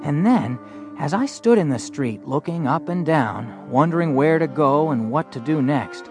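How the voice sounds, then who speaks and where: An actor playing an earnest fourteen-year-old boy in a western, telling his story in a plainspoken, hesitant, thoughtful way. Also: fairly high pitch. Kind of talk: first-person dramatized audiobook narration and dialogue.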